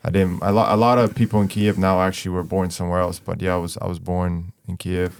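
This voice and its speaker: A man's voice: low voice, fast, clean tone. A young man from Ukraine, a guest in an interview, talking casually with lots of fillers and restarts. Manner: relaxed, rambling, many fillers and restarts. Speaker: guest, artist.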